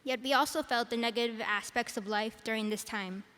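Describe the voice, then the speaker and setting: A teenage girl with a quiet voice, giving a prepared graduation speech as salutatorian from a podium microphone. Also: fairly high pitch, steady tone, fairly quick. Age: teens. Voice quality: soft-spoken, quiet voice. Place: large arena. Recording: arena with long reverb.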